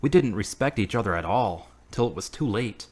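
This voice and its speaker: Earnest devout man, played by an actor, animated delivery. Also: on the low side, a little fast, slight background noise, natural voice. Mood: serious, firm. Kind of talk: amateur audio drama acting.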